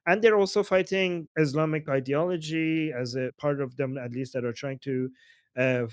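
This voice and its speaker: A man's voice: a little high, moderate pace, clear, resonant tone, dry recording, clean studio sound. Emphatic Iranian man hosting a political call. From Iran. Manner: emphatic, argumentative, rhetorical tag questions. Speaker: host. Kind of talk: live political discussion.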